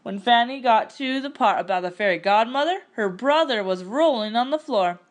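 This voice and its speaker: Higher-voiced woman reading a story. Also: expressive, normal speed. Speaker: storyteller reading a picture book. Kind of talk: expressive scripted story narration.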